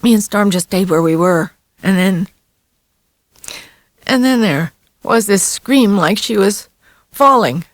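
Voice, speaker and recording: A woman voicing an excitable, easily frightened adolescent boy with a halting, wailing delivery in an audiobook. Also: mid-range voice, dry recording, studio quality, pitched above the performer's own voice. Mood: scared, halting.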